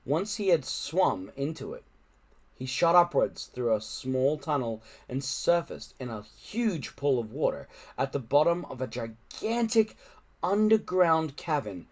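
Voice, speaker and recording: A man reading a children's adventure book aloud in an expressive narrating style. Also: mid-range voice, measured pace. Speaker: audiobook-style reader.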